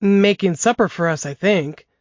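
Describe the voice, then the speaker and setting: A woman's voice: medium pitch, normal speed, darkish tone, no room sound, lightly acted. A woman voicing a fifteen-year-old boy hooked on video games, speaking in a raised, breezy, eager way. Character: fifteen-year-old boy, game-obsessed, good-natured. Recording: dry, dull lo-fi podcast audio.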